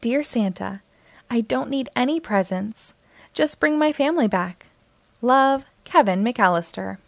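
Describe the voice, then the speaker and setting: Plucky young boy read by a woman, earnest storybook dialogue, fairly high pitch, dry sound. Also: lively intonation, measured pace, creaky, no room sound. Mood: hopeful. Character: plucky young boy left home alone.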